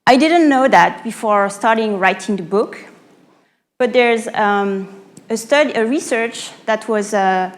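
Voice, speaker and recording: A woman from France with a French accent giving a live talk as an author and coach, explaining through rhetorical questions with pauses and self-corrections. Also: on the high side. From Normandy, France. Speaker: speaker, author and pitch coach. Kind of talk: live public talk to an audience.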